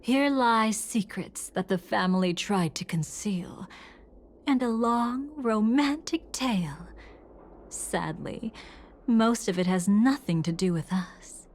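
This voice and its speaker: Unhurried, enigmatic elegant guide. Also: female voice, mid-range voice, animated, a little hiss or hum. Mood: composed, knowing. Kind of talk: scripted game dialogue.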